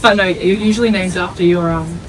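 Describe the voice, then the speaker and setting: A woman's voice: on the low side, fairly even intonation, fairly quick, noisy background. A young adult woman with an Australian accent, originally from Iceland, chatting casually and informally as an athlete being interviewed. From Iceland, raised in Australia from age three. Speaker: netball player being interviewed.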